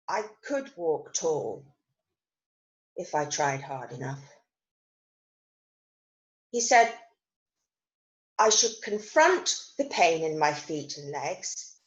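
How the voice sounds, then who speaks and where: An actress performs a wistful, self-critical woman in a dramatic monologue. Her voice sits a little low and she takes her time, with emphatic phrasing and deliberate pauses. The recording has a clear room echo. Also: highs cut off, heavily acted. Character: self-critical woman recovering from a car crash, wry and wistful. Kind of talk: dramatic monologue reading of new writing. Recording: roomy, echoing online recording.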